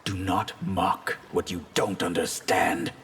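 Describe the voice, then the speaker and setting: Low-voiced, arrogant mage. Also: male voice, level tone, vocal fry, a bit bright, full character voice. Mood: haughty, defiant. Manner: imperious, deliberate. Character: arrogant, defiant fantasy mage. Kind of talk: video game fight banter. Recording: reverberant hall, noisy game background.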